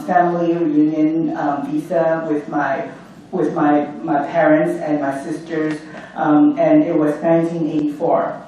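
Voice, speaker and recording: A woman from Hong Kong telling her personal story as a guest speaker at an event, reflective and pausing often with ums. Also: low-pitched, mellow, a little hiss or hum. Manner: reflective, with frequent ums and restarts. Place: event hall. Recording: echoey hall from far off.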